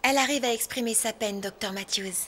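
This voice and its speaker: Sweet, high-voiced cartoon animal hospital worker. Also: female voice, brisk, pushed and bright. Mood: caring. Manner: caring, smitten, earnest. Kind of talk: French-dubbed children's cartoon acting. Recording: music behind the voice.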